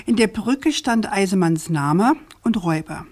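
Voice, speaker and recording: An actress playing a persistent, assertive journalist in a radio crime drama, speaking in an articulate, pointed way. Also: female voice, medium pitch, lively intonation, moderate pace, darkish tone, husky. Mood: insistent.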